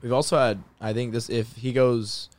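A man's voice: medium pitch, level tone, moderate pace. A man chatting casually as a podcast co-host. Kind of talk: casual chat. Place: inside a truck.

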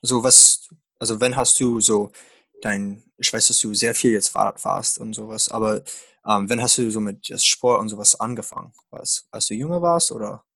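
Guy interviewing, raspy creaky voice, kinda halting, chatty, dry mic. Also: fairly low pitch, a bit bright, no echo. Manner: halting, non-native German with grammar slips. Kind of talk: casual interview chat.

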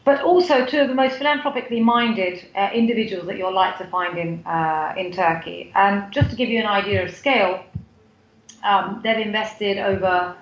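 A woman's voice: mid-range voice, moderate pace, echoey room, highs cut off. A woman from the UK with a British accent, a guest on a podcast interview, talking in a chatty way with many fillers and restarts. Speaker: guest, foundation CEO.

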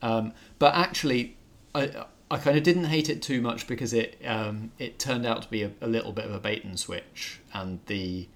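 A man's voice: medium pitch, normal speed. A man hosting a casual film review chat, speaking in a thoughtful, slightly hesitant way as he works through his opinions.

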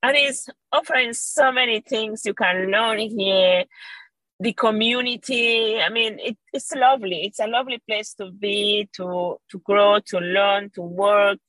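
A woman's voice: medium pitch, unhurried, dry recording, rough recording. A woman from Venezuela with a Venezuelan Spanish accent, talking about wine as a guest in a casual interview, enthusiastic and laughing often. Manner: warm, enthusiastic, laughs often, restarts phrases. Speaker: podcast guest, wine educator.

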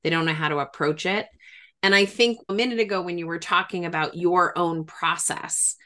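A woman's voice: fairly low pitch, moderate pace, sharp tone. A middle-aged woman speaking as a therapist in a reflective conversation, earnest and emphatic, often thinking aloud as she goes. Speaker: therapist and grief expert.

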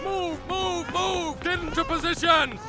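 Woman acting a shrill, stern firing-line commander. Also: steady tone, unhurried. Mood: urgent, commanding. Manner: shouted drill commands. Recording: loud music and gunfire over voice.

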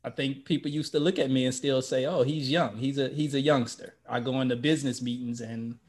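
Man, 50s, casual chat, earnest storyteller, mid pitch. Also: steady tone, brisk. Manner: earnest, storytelling, self-correcting.

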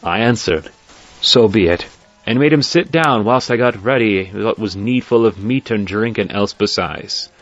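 Casting: male actor as polite, inquisitive broker, theatrical storyteller, medium pitch, slightly muffled over faint music. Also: lightly acted. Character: courteous, curious male broker narrating his story. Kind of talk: theatrical audio drama storytelling in archaic English. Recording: dull lo-fi sound, faint music behind.

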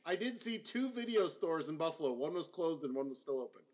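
Podcast co-host, man with an animated, slightly high voice, teasing banter. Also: fairly quick. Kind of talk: casual sports chat.

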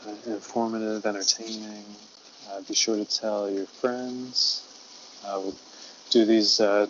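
A man playing a mumbling deadpan tour guide. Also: dull audio, a little hiss or hum. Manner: low, quiet, rambling with many fillers.

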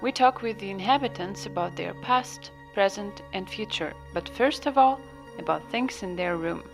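A woman hosting a podcast, narrating a scripted introduction and slowly describing a room. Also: medium pitch, expressive, vocal fry, soft background music. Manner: slow, descriptive. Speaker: podcast host.

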